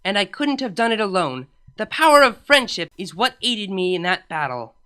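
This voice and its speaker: A woman's voice: mid-range voice, sing-song, normal speed, clean tone, voice pushed up. A woman voicing a cheerful, zealous young female pony princess in a storybook comedy, with an eager, emphatic manner. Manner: bright, eager, emphatic delivery.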